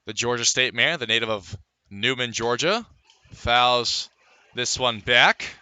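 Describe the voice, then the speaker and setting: Bright, forceful male baseball announcer. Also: medium pitch, unhurried, clean tone, dry recording. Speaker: baseball play-by-play radio announcer. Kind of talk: live sports commentary.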